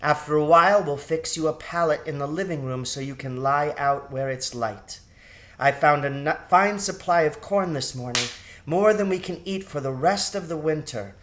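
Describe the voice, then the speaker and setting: A man voicing a caring, anxious mother mouse in a storybook, speaking in a gentle, motherly way. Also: a little high, normal speed, a little hiss or hum, voice pushed up, close to an everyday voice. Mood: tender concern.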